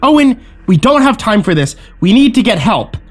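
Man voicing an urgent, high-pitched woman medic. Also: big pitch swings, moderate pace, faint music behind. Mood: pleading. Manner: shouting orders, pleading. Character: urgent, commanding woman medic taking charge in a crisis.

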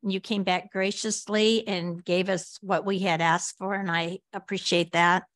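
An American woman speaking as a board director, giving measured remarks in a public meeting. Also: mid-range voice, flat delivery, fairly bright tone, no room sound, studio quality.

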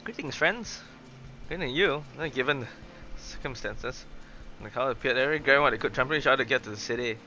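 A young man doing excitable, chatty live game commentary, exclaiming and reading dialogue aloud. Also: mid-range voice, fast talker, soft background music, low-quality recording. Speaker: gaming streamer.

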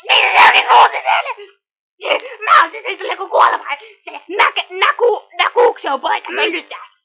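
Cartoon goddess acted by a woman: high, excitable, quarrelsome, swooping delivery, muffled lo-fi audio. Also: vocal fry, exaggerated voice. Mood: excited. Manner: shouty, rushed, combative. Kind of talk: amateur cartoon fandub.

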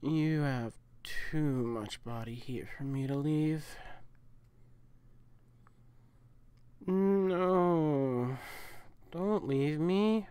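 A woman's voice: low-pitched, darkish tone, clean tone, no echo. A young woman voicing an affectionate, teasing, protective catboy boyfriend in an intimate, coaxing ASMR roleplay. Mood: clingy, teasing. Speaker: audio roleplay performer.

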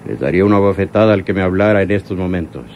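An actor playing a bitter, menacing crime boss in a Mexican radio drama, with a Mexican accent. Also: male voice, sits low, racing, a little mellow, a little hiss or hum, natural voice. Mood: gloomy.

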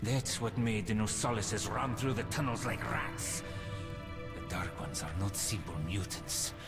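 An actor playing a weary, fatalistic station commander in a video game, solemn and bitter. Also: male voice, on the low side, unhurried. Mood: grim, resigned. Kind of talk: dramatic video game acting. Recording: music behind the voice. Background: music.

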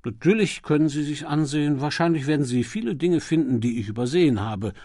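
A man voicing an earnest, methodical police detective who reports deliberately in an audiobook mystery. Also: a little high, warm tone, no room sound, clean studio sound, natural voice. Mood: unruffled, emphatic. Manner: factual, deliberate, unruffled.

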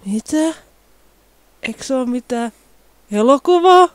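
A man voicing an impatient girl in a movie audience, in a shrill, whiny put-on voice. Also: animated, smooth, dry recording. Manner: bossy, impatient.

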